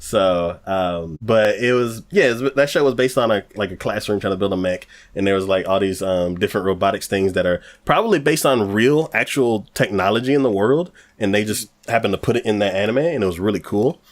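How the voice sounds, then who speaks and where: A man's voice: fairly low pitch, a little fast, few pauses. A man co-hosting an anime podcast, chatting casually and rambling with lots of fillers. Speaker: podcast co-host.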